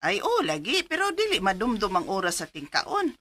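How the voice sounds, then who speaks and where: Fussy Cebuano mom in radio drama: bright voice, lively swooping intonation, caring but naggy. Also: female voice, mid-range voice, normal speed, dry recording. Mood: scolding, worried. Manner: nagging, motherly, expressive. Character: fussy, caring, scolding mother of grown son. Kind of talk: Filipino radio drama acting.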